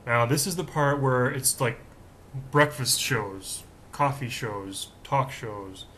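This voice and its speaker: A man with a deep voice hosting his own daily vlog, rambling in a chatty, self-mocking way with lots of fillers. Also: slow pace, a bit bright, slight background noise. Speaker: vlog host. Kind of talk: casual rambling vlog monologue.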